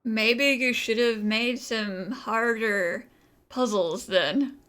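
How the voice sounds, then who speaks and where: A bright, cocky young traveler. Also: female voice, fairly high pitch, slow. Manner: cocky, teasing. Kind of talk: game voice acting.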